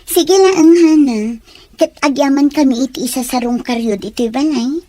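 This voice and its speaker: Brief: woman as a dutiful young daughter, high voice, respectful and soft-spoken. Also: normal speed, lightly acted. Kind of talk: radio drama acting.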